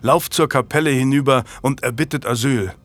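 A man's voice: mid-range voice, fairly quick, fairly bright tone, lightly acted. A man voicing a guilt-ridden noble knight who speaks urgently and insistently. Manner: urgent, pressing.

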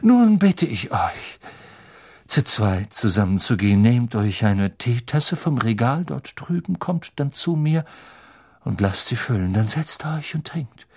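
A man voicing a thin, theatrical female fortune-telling teacher with a slow, portentous, airy and ominous manner in an audiobook. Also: rumbling low, very animated, darkish tone, breathy edge, dry recording, studio quality, exaggerated voice. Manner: slow, drawn-out, portentous delivery.

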